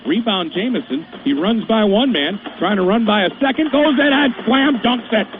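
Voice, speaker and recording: A man calls a basketball game live in a very high, excited voice, rushing through the action. The call comes through a narrow, phone-like channel with clear hiss. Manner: excited, rapid game calling. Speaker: play-by-play announcer.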